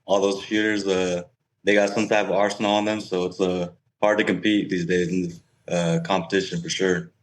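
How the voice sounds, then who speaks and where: Young man, deep voice, flat delivery, casual interview guest. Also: normal speed, a little hiss or hum, poor audio. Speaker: guest, professional cornhole player.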